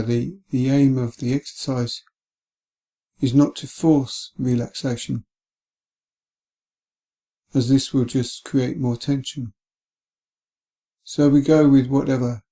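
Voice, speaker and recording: Slow, mellow man guiding meditation. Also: medium pitch. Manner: gentle, calm, unhurried with long pauses. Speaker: meditation guide. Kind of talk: guided body scan meditation.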